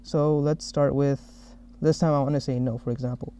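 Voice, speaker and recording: A man narrating a software demo as the developer who made it, explaining each step. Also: medium pitch, steady tone, moderate pace, smooth. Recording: dull, muffled lo-fi audio.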